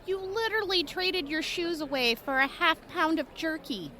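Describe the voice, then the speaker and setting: A woman's voice: very high-pitched, lively intonation, moderate pace, sharp tone, heavily acted. A woman playing a fiery, blunt young female traveler in a post-apocalyptic audio drama. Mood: teasing. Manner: blunt, combative, teasing.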